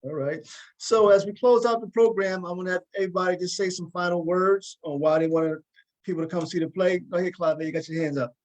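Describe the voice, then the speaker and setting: A man's voice: sits high, steady tone, quick pace, rough recording. A man hosting a casual interview over a video call, often hesitating and repeating his words as he goes. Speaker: talk show host.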